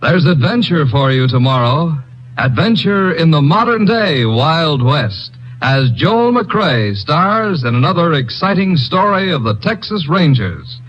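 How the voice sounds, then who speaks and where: A man's voice: on the high side, big pitch swings, unhurried, fairly bright tone, slight background noise. A man announcing network promos in a 1950s radio broadcast, in an upbeat, emphatic way. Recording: dull old radio broadcast with music.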